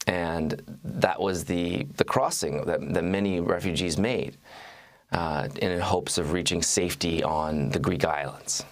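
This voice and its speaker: A man in his thirties speaking as an interview guest, recounting his reporting in a measured way with halting restarts. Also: sits low, vocal fry. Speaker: guest, journalist and author. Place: TV studio. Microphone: lapel mic.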